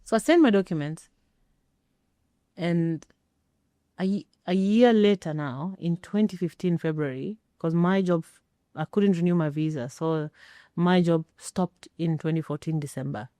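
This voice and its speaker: A middle-aged woman telling a personal story as an interview guest, speaking emphatically with pauses for effect. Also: fairly low pitch, mellow, no room sound. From Kenya. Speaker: interview guest, former air traffic controller.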